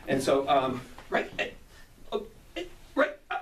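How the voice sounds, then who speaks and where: A man's voice: medium pitch, wildly swooping pitch, measured pace, crowd noise. A man working as an audio drama director, informally coaching actors and demonstrating how lines should go. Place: indoor audio drama recording session. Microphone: small video camera at a distance. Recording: roomy, distant small video camera sound, faint noise.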